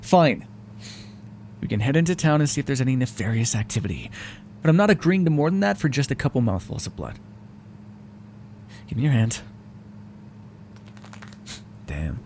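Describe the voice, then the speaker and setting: A man's voice: medium pitch, very animated, normal speed, long pauses, darkish tone, slight background noise. A man voicing a sarcastic, foul-mouthed vampire who is grudgingly helpful and hungry, speaking intimately to the listener in an audio roleplay. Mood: grudging, dry. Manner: sarcastic, wry, exasperated, conversational. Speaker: roleplay voice actor.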